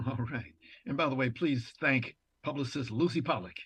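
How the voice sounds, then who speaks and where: A man from New York with a husky, mid-pitched voice hosts a talk show. He introduces guests in courteous, formal phrasing, and the sound is close and dry. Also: normal speed, a bit bright, dry recording. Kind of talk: interview and introductions.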